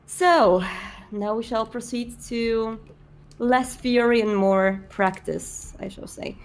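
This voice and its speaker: A woman playing a polite, welcoming male vampire prince in a tabletop roleplay, speaking in a formal, measured way. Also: fairly high pitch. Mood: gracious, formal.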